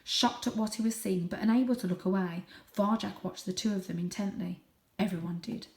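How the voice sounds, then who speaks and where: A woman reading a children's story aloud with expressive narration, recorded in an echoey room. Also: mid-range voice, fairly quick, recorded from a distance. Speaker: storybook reader.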